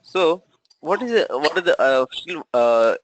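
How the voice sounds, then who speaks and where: A man's voice: fairly high pitch, animated, a little slow, lo-fi sound, a little hiss or hum. A man with a cold teaching an online training session, explaining step by step and often checking in with "right?". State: has a cold, voice low. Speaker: SAP trainer. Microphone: video call.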